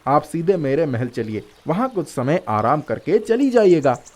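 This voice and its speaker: A man's voice: fairly high pitch, very animated, a little slow, a little mellow. A man voicing a brave, courteous young prince in a fairy tale, speaking formally and earnestly. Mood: gallant, courteous. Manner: formal, gallant, earnest delivery.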